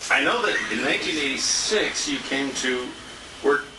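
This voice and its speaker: Animated older American man, very noisy room. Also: medium pitch, moderate pace, distant mic. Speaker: radio announcer giving a tribute. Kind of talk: informal, joking off-the-cuff speech. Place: restaurant banquet room. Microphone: distant handheld compact camera. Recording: very noisy, echoey handheld camera from afar.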